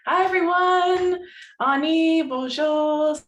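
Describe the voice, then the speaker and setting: A woman's voice: very high voice, slow pace, reverberant. A young woman from Southern Ontario introducing herself and her poems on a video call, chatty and laughing often, with many ums and likes. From Southern Ontario, Canada. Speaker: poet reading at a festival. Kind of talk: casual intro then poetry reading. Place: home, joining remotely.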